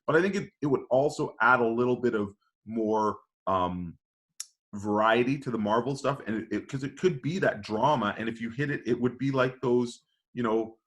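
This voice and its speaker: A man with an average-pitched voice speaks as a podcast panelist. He is casual and a little hesitant, working through his ideas out loud. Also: moderate pace.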